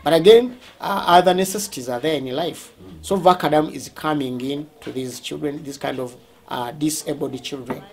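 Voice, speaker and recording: A man with a Ugandan accent speaking as a school representative, explaining things during a tour in a halting, deliberate way, often rephrasing. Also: fairly high pitch, creaky.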